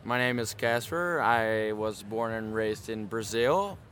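Man, relaxed and jokey interviewee, bright tone, outdoors. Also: lowish voice, slow pace, smooth. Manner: laid-back, joking. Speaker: interviewee, rider.

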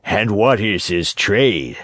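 Man as a slow, weighty puppet master. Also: lowish voice, lively intonation, a bit bright, no echo, exaggerated voice. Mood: questioning.

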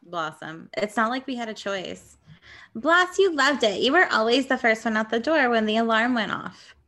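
A woman's voice: on the high side, animated, normal speed, sharp tone. A woman reading parts and joking through a comedy script read-through.

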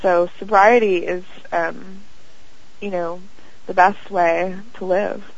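A young woman, a guest on an interview, who talks in a dreamy, halting way full of fillers and pauses. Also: fairly low pitch, level tone. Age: young adult. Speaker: guest, singer and actress. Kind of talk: casual interview chat. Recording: dull lo-fi audio.

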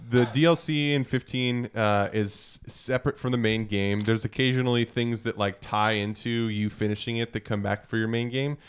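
A man's voice: mid-range voice, steady tone, normal speed, hardly any pauses, smooth. A man talking casually on a video game podcast, explaining things in a hedging way. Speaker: podcast panelist.

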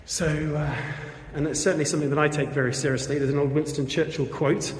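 A man's voice: on the high side, level tone, quick pace. A man giving an earnest, casual walkthrough of a school building he is fundraising for, with lots of fillers, recorded on a handheld camera indoors. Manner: chatty, earnest, many fillers. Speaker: volunteer fundraiser showing a school. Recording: echoey hall, long reverb.

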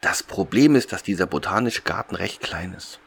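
Male podcast host, lower-pitched voice, conversational and a little hesitant as he thinks aloud. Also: lively intonation, moderate pace, creaky, fairly bright tone, husky. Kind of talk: casual podcast discussion.

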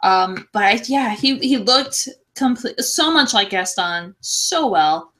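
A woman's voice: mid-range voice, animated, slow pace, fairly bright tone. A woman co-hosting a podcast, chatting casually about films in a gushing, slangy, effusive way. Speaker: podcast co-host.

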